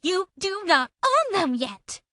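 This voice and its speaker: A woman's voice: very high voice, sing-song, slow pace, bright, forceful tone, dry recording, studio quality, heavily acted. A woman playing a belligerent, self-important woman in a feud, taunting and accusatory, as a theatrical dramatic reading. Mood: indignant. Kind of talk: dramatic reading of a text exchange.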